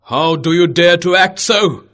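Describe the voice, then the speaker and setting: A woman voicing a proud, hot-tempered king in a children's story, with dramatic, deliberate delivery. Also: fairly low pitch, fairly bright tone. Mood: angry, threatening. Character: proud, hot-tempered king scolding his hawk, later remorseful.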